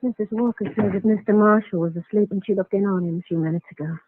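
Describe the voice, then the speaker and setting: An actress playing an anxious, conscience-stricken young nurse with an earnest manner in a 1940s radio drama. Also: female voice, medium pitch, steady tone, quick pace, slight background noise, close to an everyday voice. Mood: concerned. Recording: tinny band-limited vintage radio recording.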